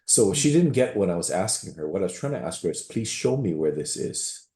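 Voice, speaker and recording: Deep-voiced pastor, rambling. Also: male voice, big pitch swings, a little fast, warm tone, echoey room. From Waianae, Hawaii. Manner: rambling, digressive storytelling with many restarts. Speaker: pastor giving public comment. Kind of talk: public meeting comment.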